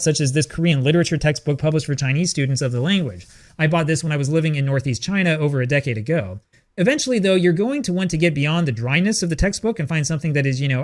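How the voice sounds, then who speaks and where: A man delivering a scripted video essay with language-learning advice, in a brisk, earnest manner. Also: a little high.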